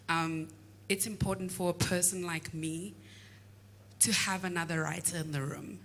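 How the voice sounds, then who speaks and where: A woman with a low, baritone voice and a South African accent, a singer-songwriter sharing her process on a masterclass panel, reflective and pausing often. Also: a bit bright. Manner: reflective, many "um" pauses. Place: recording studio.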